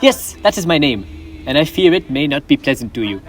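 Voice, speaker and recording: A man's voice: a little high, big pitch swings, fairly quick, soft background music. A teenage boy playing a reformed, now generous old miser in a school stage play, speaking earnestly with formal old-fashioned phrasing. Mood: kind. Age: teens.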